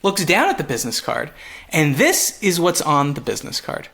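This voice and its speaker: A man's voice: fairly high pitch, very animated, moderate pace, gravelly, pushed and bright. A man from Montreal chatting casually and jokingly as a podcast co-host, swearing now and then. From Montreal, Canada. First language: English. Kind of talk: casual comedic podcast chat.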